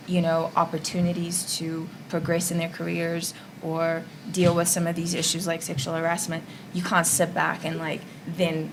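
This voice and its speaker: Blunt, even-toned woman over background noise. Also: lowish voice. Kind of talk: casual roundtable discussion. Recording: clear background noise at live event.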